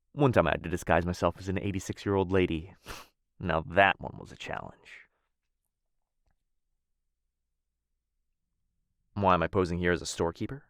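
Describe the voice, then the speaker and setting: A man's voice: low voice, creaky, dry recording, studio quality. A man playing a menacing yet lovestruck assassin in a boyfriend ASMR roleplay, speaking intimately and teasingly in formal, old-fashioned phrases. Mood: smug, teasing. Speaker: voice actor.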